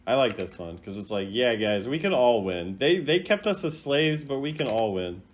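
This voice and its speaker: A man's voice: mid-range voice, expressive, normal speed, a little hiss or hum. A man giving casual, off-the-cuff commentary as a video host, rambling and playfully opinionated. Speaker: video host rating game voice lines.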